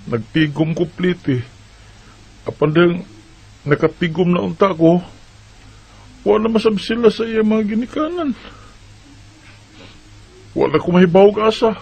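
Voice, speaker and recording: A man's voice: high voice, a little slow, gravelly, pauses often, darkish tone, slightly rough, exaggerated voice. A man playing a lonely husband who is searching for his lost wife and children, speaking in a weary, plaintive way. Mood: downcast. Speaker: son. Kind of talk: radio drama acting.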